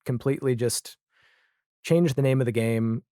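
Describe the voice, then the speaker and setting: A man's voice: mid-range voice, moderate pace, mellow, no room sound, clean studio sound. A man speaking as a podcast guest in a casual, reflective interview conversation, explaining his thoughts with examples. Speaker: podcast guest, audio drama creator.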